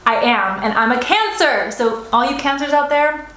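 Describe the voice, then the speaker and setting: A woman's voice: high-pitched, normal speed, fairly bright tone, roomy sound, slight background noise. A young woman in her twenties, vlogging a casual mukbang chat in a restaurant, rambling with many fillers and restarts. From United States. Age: 20s. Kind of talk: casual mukbang chat, answering viewer assumptions.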